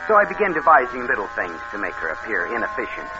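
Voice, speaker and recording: An actor playing a cold-blooded, calculating con man, narrating and talking smoothly in 1940s radio thriller style. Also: mid-range voice, sing-song, fast talker, loud music behind the voice. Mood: cold, matter-of-fact. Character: scheming cold-blooded male con man posing as nephew. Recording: noisy, dull old radio recording.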